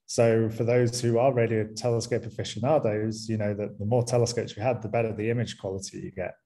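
A man with a Manchester-area English accent gives a conference talk from a small room over a webcam. His voice sits low and sounds mellow, and his delivery is relaxed, full of ums and small restarts. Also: fairly quick. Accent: Mancunian English. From England, near Manchester. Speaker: university lecturer.

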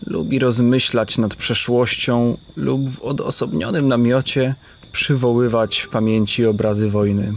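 Slow, measured male narrator. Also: medium pitch, vocal fry. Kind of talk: scripted storybook narration.

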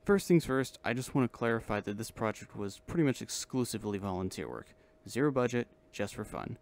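Casual man with a lowish voice. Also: sing-song, a little fast, creaky, a little mellow. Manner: self-deprecating. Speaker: video host and amateur voice actor. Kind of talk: casual vlog chat.

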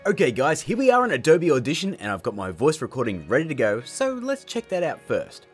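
Animated, slightly husky man hosting a tutorial. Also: a little high, a little fast, soft background music. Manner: jokey, emphatic, theatrical. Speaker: tutorial host. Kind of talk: casual, scripted video tutorial.

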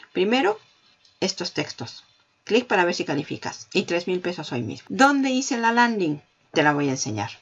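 A woman hosting a marketing tutorial, walking the viewer through software on screen and talking straight to them. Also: fairly low pitch, gravelly, lo-fi sound. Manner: explanatory, addresses viewer directly. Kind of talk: screen-recorded software walkthrough.

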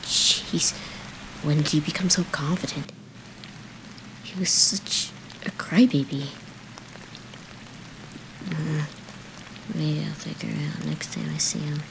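A shy young man from a romance comic, acted by a woman, speaks low and hesitantly. The recording is noisy, with rain behind the voice. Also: fairly even intonation, creaky, full character voice. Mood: flustered. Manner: hesitant, drawn-out. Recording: noisy recording with rainy ambience.

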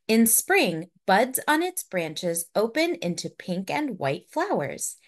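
A woman narrates a children's picture book at a slow, careful pace. Her mid-pitched voice swings expressively up and down. She sounds like a storyteller spelling things out for young children. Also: very animated.

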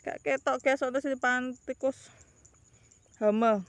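A woman's voice: sits high, fairly even intonation, measured pace, clear, resonant tone, dry recording. A woman in her thirties with a Javanese ngapak accent, chatting to viewers in a casual vlog, rambling and calling them guys, filmed outdoors on a phone held at arm's length. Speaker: vlogger. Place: outdoors by rice fields.

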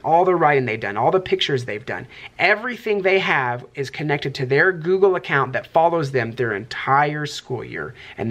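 A man's voice: a little high, a bit bright. A man in his thirties, a kindergarten teacher, talking casually and upbeat to a camera held at arm's length in his classroom. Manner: upbeat, explains things quickly. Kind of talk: casual vlog talk to camera.

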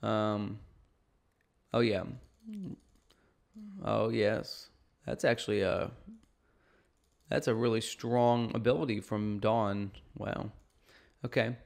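A man's voice: fairly low pitch, little pitch movement, takes it slowly, creaky, long pauses. A man gives casual strategy commentary on a game, emphatic and often checking in with the listener. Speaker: gaming video host. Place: indoor room at home.

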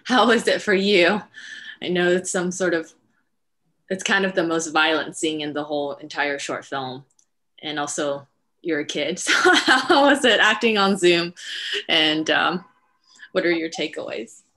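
Low-voiced woman hosting a casual Q&A. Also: measured pace, pushed and bright. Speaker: writer-director hosting a Q and A. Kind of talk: casual, unscripted Q and A talk. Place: indoor room at home, on a video call. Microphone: headset on a video call.

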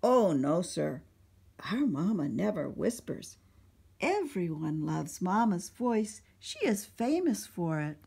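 A woman's voice: fairly low pitch, very animated, slow pace, gravelly, darkish tone, husky, exaggerated voice. A woman voicing lost young children who earnestly insist on how wonderful their mother is.

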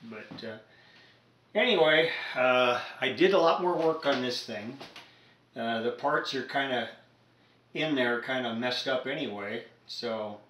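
A man's voice: mid-range voice, measured pace, fairly bright tone, slight background noise. An older man chatting casually and hesitantly at a cluttered home electronics workbench, with lots of fillers as he explains his radio repair. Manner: rambling, hesitant, many fillers. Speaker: radio repair hobbyist vlogger. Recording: roomy home workshop echo.

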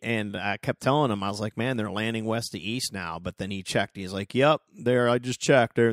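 A middle-aged man hosting a solo podcast, rambling casually with lots of stammered restarts and a slightly hoarse voice. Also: fairly low pitch, no echo, clean studio sound. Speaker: podcast host.

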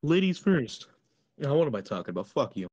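A man's voice: mid-range voice, very animated, brisk, a little mellow, dry recording, dull audio. A man voicing a smug, nonchalant, foul-mouthed rabbit in a comic cartoon fandub, offhand and sardonic.

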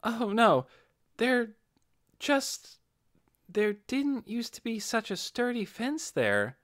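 A man voicing a worried, halting young boy in a fantasy audiobook. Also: very high voice, big pitch swings, a bit bright, no room sound, clean studio sound, pitched above the performer's own voice, heavily acted. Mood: uneasy, hesitant. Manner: halting, uncertain.

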